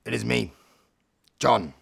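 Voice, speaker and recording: A man plays an indignant tomcat in a comic tall tale. The voice is gravelly with a sharp edge. He scolds bluntly, fussy and sweary, and repeats himself. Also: mid-range voice, slow pace, pitched below the performer's own voice, exaggerated voice. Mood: firm. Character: grumpy, indignant male three-legged cat, fussy and sweary.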